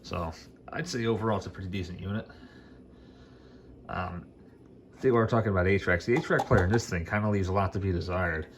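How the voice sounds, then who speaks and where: Low-voiced man chatting casually, noisy recording. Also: fairly quick, long pauses. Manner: informal, colloquial, rambling, enthusiastic. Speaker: vintage hi-fi hobbyist demonstrating gear. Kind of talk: casual, unscripted product demo chat.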